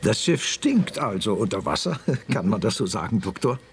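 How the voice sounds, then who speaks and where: A man's voice: fairly low pitch, big pitch swings, moderate pace. An actor playing a formal, condescending male executive in a radio drama.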